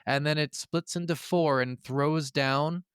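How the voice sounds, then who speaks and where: Guy on a gaming podcast, clear smooth voice kinda high, swears a lot, teases his buddy. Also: steady tone, unhurried, a bit bright, no echo, clean studio sound. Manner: casual and profane, teasing. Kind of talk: casual chat about video games.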